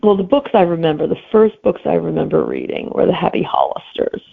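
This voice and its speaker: A woman's voice: lowish voice, a little fast, vocal fry, slightly rough, phone-quality sound. A middle-aged woman answering as an interview guest in casual chat, reflective and wryly humorous. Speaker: romantic suspense author, podcast guest.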